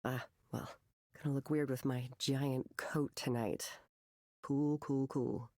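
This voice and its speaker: An actress playing an anxious, self-mocking young artist in a visual novel, with an American accent and a hesitant, rambling way of talking. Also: female voice, rumbling low, steady tone, takes it slowly, warm tone, no room sound, clean studio sound, full character voice. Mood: awkward, friendly. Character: nervous, self-deprecating, struggling young woman artist. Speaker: counseling client. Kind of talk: visual novel voice acting, conversational confession.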